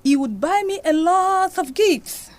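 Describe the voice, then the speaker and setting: A woman's voice: extremely high pitch, lively intonation, a little slow. A woman playing an anguished, devout Nigerian mother confessing a painful past in an audio drama. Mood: sorrowful confession.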